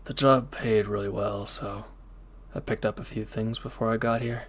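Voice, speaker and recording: A man playing a former villain turned hesitant, conflicted lover, speaking earnestly and haltingly in an intimate audio roleplay. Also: fairly low pitch, steady tone, fairly bright tone. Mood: casual, tired.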